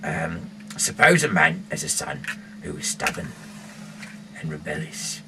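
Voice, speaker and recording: Very deep-voiced English man, noisy recording. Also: sing-song, measured pace. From England. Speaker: amateur Bible reader and rock singer. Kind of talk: casual reading aloud with off-the-cuff asides. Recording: clearly noisy homemade recording.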